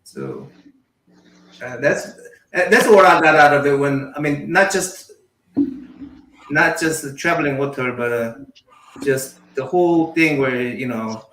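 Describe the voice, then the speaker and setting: A middle-aged man in a casual panel discussion, speaking haltingly and repeating words as he forms his thoughts. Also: medium pitch, fairly bright tone. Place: indoor room at home, video call. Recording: echoey room on video call.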